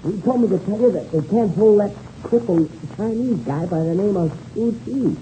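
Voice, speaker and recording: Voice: mid-range voice, normal speed, warm tone, close to an everyday voice, rough recording. An actor playing a folksy, hesitant, plain-spoken American mechanic with an American accent in a 1930s radio serial. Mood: flustered. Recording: faintly hissy old radio recording.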